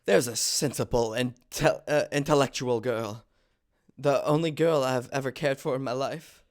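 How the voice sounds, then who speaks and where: Stage comedy reading: proper, earnest, touchy young English gentleman played by a man, mid-range voice, dry recording. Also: mid-range voice, fairly even intonation, moderate pace, dry recording, close to an everyday voice. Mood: indignant, earnest.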